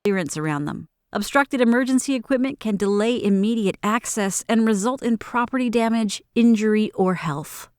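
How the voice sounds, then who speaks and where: A woman with a slightly high voice narrates instructional training material. Her delivery is formal and measured with careful enunciation, recorded close and very dry in a studio. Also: darkish tone, clean tone, no room sound. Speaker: narrator of workplace safety training. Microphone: close studio microphone in a booth.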